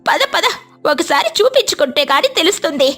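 A woman's voice: very high voice, sing-song, fairly quick, fairly bright tone, soft background music, voice pushed up, heavily acted. A woman voicing a helpful, frank, motherly eagle in a cartoon story, with an advising, conversational manner. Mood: chatty.

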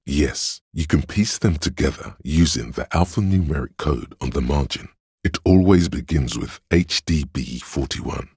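A detective's inner logic for a game: gravelly, raspy, measured and analytical, very dry close sound. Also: male voice, a little mellow, no echo, full character voice. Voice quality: very deep, gravelly, husky. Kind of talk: video game narration.

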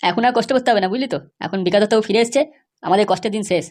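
Woman voicing a fast-talking cartoon henchman. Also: mid-range voice, darkish tone, lightly acted. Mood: gossipy, dismissive. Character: crude, loyal male henchman of a politician. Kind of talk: comedy cartoon dialogue.

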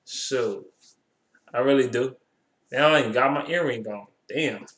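A young American man talks casually to a webcam in an echoey room. His voice sits at an average pitch. He speaks in loose slang with plenty of swearing and circles back to repeat his points. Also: measured pace, fairly bright tone. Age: 20s. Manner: slangy, heavily profane, repeats himself. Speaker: vlogger. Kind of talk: casual chat to camera. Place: small bare indoor room. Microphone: webcam at arm's length.

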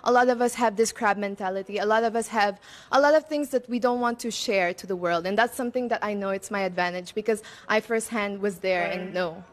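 A woman's voice: mid-range voice, fairly quick. A woman gives chatty, earnest pageant interview answers into a stand microphone, mixing in Tagalog words. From Philippines and Macau. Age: twenties. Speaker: beauty pageant contestant. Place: open-sided veranda outdoors.

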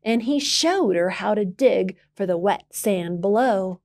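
A woman reading a children's picture book aloud as a library storyteller, expressively, with emphasis and dramatic pauses. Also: medium pitch, lively intonation, darkish tone.

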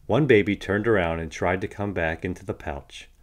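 A man reading a picture book aloud for children in an expressive narrating style. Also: deep voice, normal speed. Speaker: children's storybook reader.

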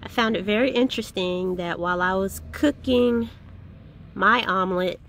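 A woman in her forties vlogging casually from inside her parked van, chatting in an unhurried way and thinking aloud with fillers. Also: mid-range voice, creaky, a bit bright, slight background noise. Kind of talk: casual vlog narration.

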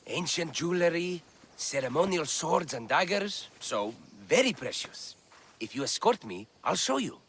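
Excitable bookish antiquities scholar, higher-pitched, very animated delivery, florid wording, video game dialogue. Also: male voice, unhurried, breathy edge, full character voice. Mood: eager. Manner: theatrical, florid, enthusiastic wording. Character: eager, bookish male scholar of antiquities in ancient Greece.

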